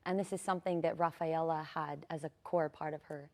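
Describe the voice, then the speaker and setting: A woman's voice: sits low, fairly even intonation, a little fast, a little mellow. A woman guest on a TV morning show, speaking earnestly with fillers about a ballet. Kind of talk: TV interview.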